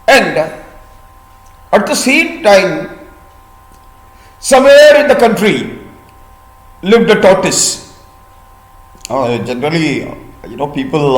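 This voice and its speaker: A man with an Indian accent telling a folk tale to listeners in a deliberate, teacherly way with pauses. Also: on the high side, expressive. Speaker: storyteller and host. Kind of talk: storytelling of a folk tale for listeners learning vocabulary. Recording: echoey room.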